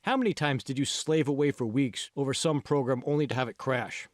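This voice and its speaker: A man's voice: mid-range voice, brisk, dry recording, clean studio sound, close to an everyday voice. A man voicing a confident, encouraging college friend in an audiobook, prodding and persuasive. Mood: insistent encouragement. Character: confident, encouraging young male college friend, insistent coach. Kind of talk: audiobook character dialogue.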